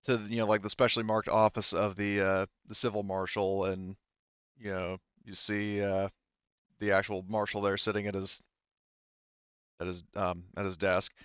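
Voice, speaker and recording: A man narrating a tabletop game as game master in a casual, improvised way, rambling and halting. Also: lowish voice, level tone, fairly bright tone, smooth, no echo, dull audio.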